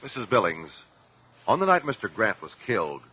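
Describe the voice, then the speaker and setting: A man's voice: fairly low pitch, very animated, fairly quick, slightly rough. An American man playing a courteous, probing attorney who questions witnesses formally in a mid-century radio drama. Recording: dull, lo-fi old radio recording.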